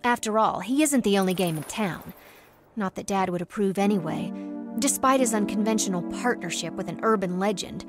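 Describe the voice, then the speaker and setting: A young woman playing a wry, determined aspiring crime fighter in an animated comic. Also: medium pitch, brisk, over music, natural voice. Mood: wry, resolute. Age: young adult. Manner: wry, self-assured. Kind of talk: animated motion comic narration and dialogue.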